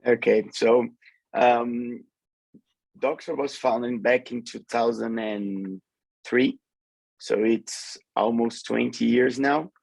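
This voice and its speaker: A Brazilian man in his forties speaks English on a webinar, his gravelly voice slightly husky. He talks with many fillers and restarts, and the sound is dry and close. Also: mid-range voice, fairly even intonation, takes it slowly, a little mellow, no echo, rough recording. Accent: Brazilian Portuguese. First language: Portuguese. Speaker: guest remediation specialist. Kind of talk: webinar talk.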